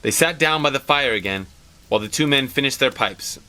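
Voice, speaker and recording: A man reading a story aloud as an expressive scripted narration. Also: medium pitch, a little fast. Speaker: story reader.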